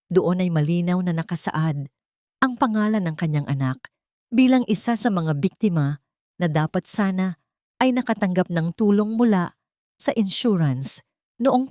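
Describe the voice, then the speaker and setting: A woman's voice: on the low side, darkish tone, dry recording, studio quality. A woman reading a scripted dramatic story as an audiobook narrator.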